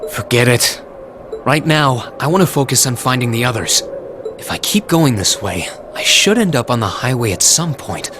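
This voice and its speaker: A man's voice: medium pitch, lively intonation, moderate pace, noisy background, lightly acted. A man playing an earnest, stubborn young male hero in a video game, speaking with open emotion. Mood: curt, determined.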